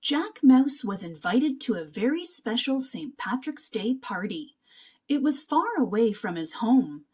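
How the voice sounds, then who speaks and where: A woman narrating a children's picture book aloud as an expressive, animated storyteller. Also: on the high side, measured pace, slightly rough.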